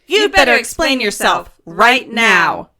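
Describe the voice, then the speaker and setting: A woman playing a sassy, no-nonsense diner waitress in a comedy audio drama, folksy and brisk in manner. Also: a little high, sing-song. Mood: stern, commanding. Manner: folksy, brisk, calls people hun.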